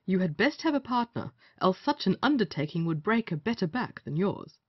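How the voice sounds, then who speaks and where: Lively, wry gallant played by a woman. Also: mid-range voice, normal speed, husky, no echo, lo-fi sound. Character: sardonic male gallant, the rake's teasing companion. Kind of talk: period stage comedy acting.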